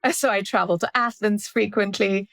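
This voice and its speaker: A woman in her forties from India, a guest telling her story in a reflective, measured way during a casual interview. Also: mid-range voice, creaky, fairly bright tone, no echo. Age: 40s.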